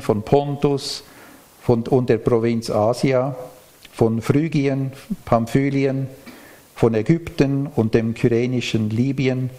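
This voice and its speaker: A man preaching a sermon, reading and explaining scripture in a measured, explanatory way. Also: medium pitch, dark tone.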